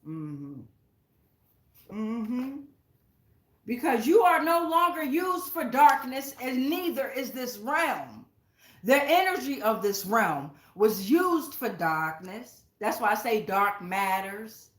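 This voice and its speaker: A woman's voice: on the high side, very animated, slow pace, echoey room. A woman giving a casual spiritual tarot reading, speaking straight to her viewers in an emphatic, blunt, sweary way. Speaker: tarot reader, channel host. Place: indoors at home.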